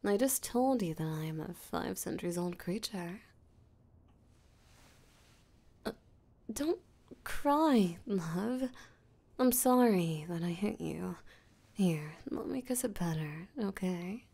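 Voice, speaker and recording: Slow, creaky, seductive vampire voiced by a woman. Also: lowish voice, expressive, warm tone, heavily acted. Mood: mock concern, smug. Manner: breathy, teasing, flirtatious, slow and deliberate. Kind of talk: intimate roleplay audio drama, spoken to the listener.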